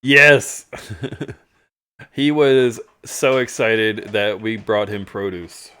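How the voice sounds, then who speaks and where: A man's voice: on the low side, drawn-out words, clean tone. A man hosting a live stream, telling stories casually and humorously, often chuckling and acting out what people said. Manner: chuckles often, animated retelling with acted-out quotes. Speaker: storytelling show host. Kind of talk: casual humorous storytelling over photos.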